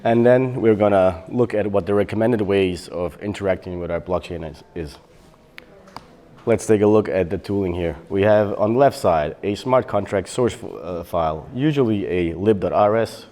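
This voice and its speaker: A middle-aged man from Bosnia giving a technical conference talk on developer tooling, relaxed and explanatory, with frequent fillers and self-corrections. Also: low-pitched, moderate pace. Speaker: software developer presenting.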